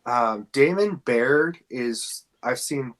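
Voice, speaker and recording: Male podcast co-host, mid-pitched, casual and a little halting. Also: expressive. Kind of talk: casual podcast chat about fan casting.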